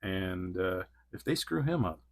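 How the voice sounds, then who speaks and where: A man's voice: sits low, lively intonation, unhurried, no room sound, clean studio sound. A man in his forties reviewing an action figure in a casual, rambling way, laughing often between thoughts. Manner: rambling, giggly, lots of fillers. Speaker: toy reviewer.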